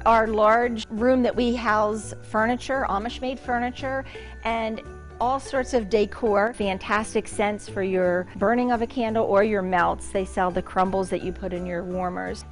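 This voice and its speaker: A middle-aged woman, a shop owner, chatting enthusiastically as she gives a tour of her large indoor store, with frequent ums. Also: medium pitch. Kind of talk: guided store tour interview.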